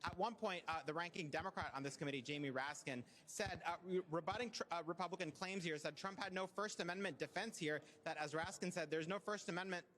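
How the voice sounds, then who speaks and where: A man reporting live on a political hearing, brisk with frequent filler uhs. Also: on the high side, fairly bright tone.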